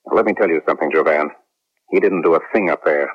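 An actor playing a resentful, manipulative colonel in a late-1970s radio drama. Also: male voice, extremely low pitch, fast talker, breathy edge. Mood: bitter, boastful. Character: scheming, resentful male colonel, smooth manipulative instructor. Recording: muffled, lo-fi vintage radio recording.

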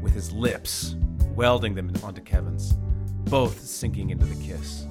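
A man's voice: on the low side, a little slow, over loud music. A man narrating a story as a dramatic reading.

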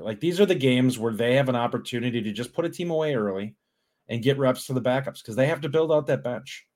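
A man's voice: medium pitch, darkish tone. Man breaking down a game casually. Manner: earnest analysis with frequent restarts and agreement. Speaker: podcast co-host. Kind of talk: casual sports podcast chat.

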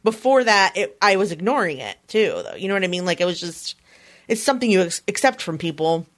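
A woman with a Philadelphia accent hosting a podcast, talking in an animated, teasing way with lots of restarts. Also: on the low side, brisk, no echo, clean studio sound. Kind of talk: casual conversation.

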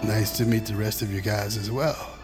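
An actor playing a weak but calm man freed from a long coma in an audio drama, terse and matter-of-fact. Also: fairly low pitch, moderate pace, loud music behind the voice, lightly acted. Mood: weary, calm. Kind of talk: immersive audio drama acting.

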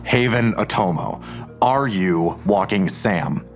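An actor playing a menacing, suspicious police detective in an audio drama, pressing with pointed, accusatory questions. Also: male voice, low voice, animated, slow pace, faint music behind. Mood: angry, threatening.

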